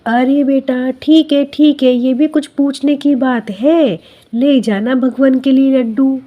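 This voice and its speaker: A woman's voice: high voice, fairly even intonation, slow pace, lo-fi sound. A woman voicing an exclaiming, motherly cartoon mother who talks to her grown son. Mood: caring. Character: mother bird, caring but scolding, of grown son. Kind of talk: Hindi cartoon story dubbing.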